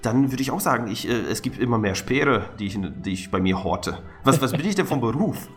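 A man's voice: medium pitch, animated, a little fast, faint music behind. A man co-hosting a podcast, chatting casually with self-deprecating comic banter and stammered repetitions. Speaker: podcast co-host.

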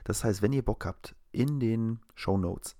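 A German man with a lowish voice and plenty of fry chats casually as a podcast co-host. The sound is dry and close, and his talk is colloquial and exclamatory. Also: a little slow, mellow, no room sound.

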